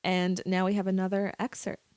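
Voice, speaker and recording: A woman's voice: mid-range voice, monotone, a little fast, darkish tone, clear, resonant tone, no echo. A woman on a podcast panel, speaking informally and irreverently between scripted explanations.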